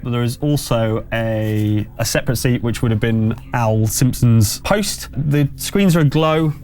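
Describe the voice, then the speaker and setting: A man running a tabletop game as game master, improvising the narration in a halting, conversational way. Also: medium pitch, darkish tone. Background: background music.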